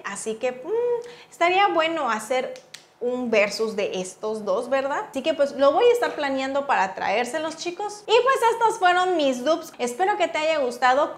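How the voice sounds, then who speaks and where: A woman with a Mexican accent giving a casual, chatty makeup review as a beauty vlogger, informal and full of affectionate diminutives. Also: a little high. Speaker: beauty vlogger, channel host.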